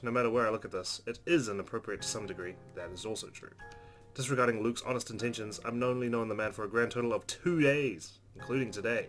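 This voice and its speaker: A man hosting a let's play, reading visual novel narration aloud and adding casual commentary. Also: fairly low pitch.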